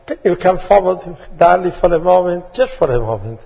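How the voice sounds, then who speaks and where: A man's voice: sits high, lively intonation, normal speed, gravelly, dark tone, breathy edge. A man from Italy with an Italian accent, greeting a congregation as a guest preacher, with playful asides. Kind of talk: sermon greeting. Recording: dull sound with long hall reverb.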